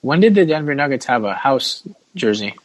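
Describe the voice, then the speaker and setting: A man's voice: medium pitch, big pitch swings, moderate pace. A man hosting a casual sports podcast, chatting and steering the discussion. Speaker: podcast host.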